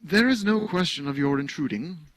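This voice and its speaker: A man's voice: a little high, lively intonation, normal speed, dry recording, pitched above the performer's own voice, natural voice, poor audio. Male reader as a reserved, curt, polite English traveller, close to his own voice. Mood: polite reserve.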